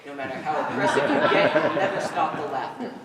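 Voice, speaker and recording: A man's voice: on the high side, fairly even intonation, quick pace, a loud crowd, close to an everyday voice. A teenage boy playing a grumbling, quipping sidekick comic on trial in a school stage play. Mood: cheeky. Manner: wry, quipping. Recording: echoey school stage hall, distant mic, faint noise.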